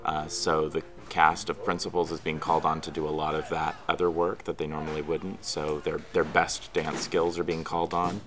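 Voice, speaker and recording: A man's voice: a bass voice, little pitch movement, normal speed, few pauses. A man in his thirties, a theatre director, talking in a documentary interview. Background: music.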